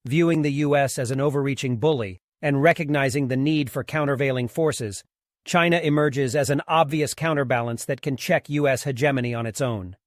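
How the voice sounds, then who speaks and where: A man from Africa narrating a scripted commentary video in a measured, formal, argumentative way. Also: medium pitch, dry recording, studio quality.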